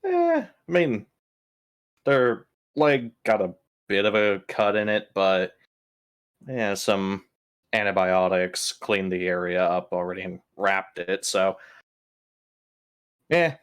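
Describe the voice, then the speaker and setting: A man's voice: low-pitched, sing-song, takes it slowly, pauses often. A man playing a tabletop roleplaying game, bantering casually in a wry, blunt way.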